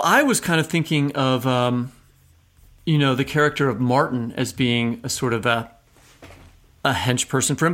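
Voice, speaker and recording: A man with noticeable vocal fry and a medium-pitched voice co-hosts a literary discussion. He offers his readings tentatively, reasoning out loud in a conversational interviewer's style. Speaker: podcast co-host, interviewer.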